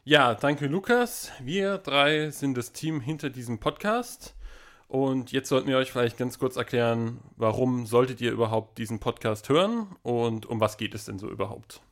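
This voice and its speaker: A man's voice: mid-range voice, moderate pace, fairly bright tone. Twenties male host, upbeat, reads a scripted podcast intro. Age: 20s.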